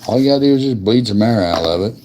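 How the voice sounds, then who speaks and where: A man with a deep voice chatting casually and in a folksy, colloquial way as he hosts a hands-on demonstration of lighter repair. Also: expressive, racing, dark tone, slight background noise. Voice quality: gravelly. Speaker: host of a lighter repair livestream.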